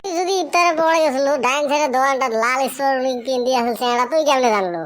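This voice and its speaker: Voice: high voice, quick pace, a bit bright. A performer voicing a teasing, exclamatory village youth in a comic cartoon skit. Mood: bantering.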